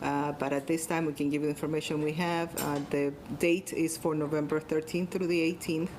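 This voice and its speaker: A woman with a very low-pitched voice speaks as an interview guest. Her delivery is unscripted, halting and devout, and a strong rumbling noise sits behind her. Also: little pitch movement. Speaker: guest doctor. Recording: loud rumbling background noise.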